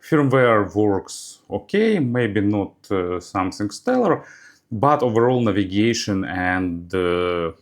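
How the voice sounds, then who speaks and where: Low-voiced man with very lively intonation. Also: slow. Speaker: product reviewer. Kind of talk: hands-on gadget review narration.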